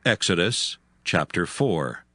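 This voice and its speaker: A man's voice: deep voice, big pitch swings, slow pace, pushed and bright, a little hiss or hum. A man narrating a dramatized scripture reading as its storyteller. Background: music.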